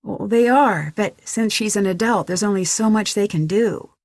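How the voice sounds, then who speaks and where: A woman's voice: mid-range voice, moderate pace, darkish tone, no room sound, lightly acted. Young woman sleuth for a mystery game, played by an actress: mid-range, friendly, earnest, lightly wry, close dry studio sound. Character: young female detective, curious, friendly, level-headed. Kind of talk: conversational game dialogue, one side of phone calls.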